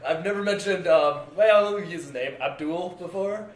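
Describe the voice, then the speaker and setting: A man's voice: sits high, moderate pace. A young man telling rambling stories on a casual podcast and laughing as he goes. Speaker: podcast co-host. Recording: echoey room.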